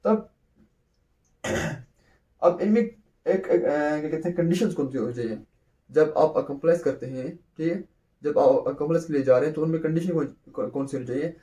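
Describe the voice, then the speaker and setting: A man's voice: fairly high pitch, normal speed, dark tone, far from the mic. A man teaching a law lecture, who repeats points and answers his own questions. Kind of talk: explanatory lecture, mixing in English terms.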